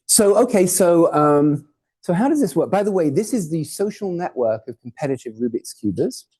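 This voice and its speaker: A man's voice: fairly high pitch, lively intonation, normal speed, dark tone, slightly rough. A man from Hawaii, raised in Portugal and England, giving an informal, digressive academic lecture with jokes and the odd swear word. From born in Hawaii, raised in Portugal and England. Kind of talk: academic talk with slides. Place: lecture hall.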